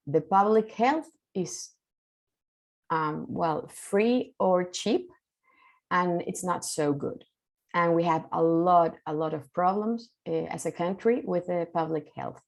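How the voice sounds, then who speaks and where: A woman's voice: lowish voice, animated, slow, darkish tone. A woman from Chile with a Chilean Spanish accent and Spanish as her first language, giving a nervous, hesitant conference talk full of fillers. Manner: hesitant, many fillers and restarts. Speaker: keynote speaker, mediator.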